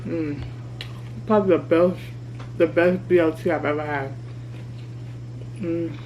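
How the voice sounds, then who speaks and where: A woman in her thirties talking candidly to her vlog camera while she eats, chatty and relaxed. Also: low voice, measured pace, a little hiss or hum, poor audio. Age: 30s. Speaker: vlogger. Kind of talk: casual mukbang vlog chat. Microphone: camera mic.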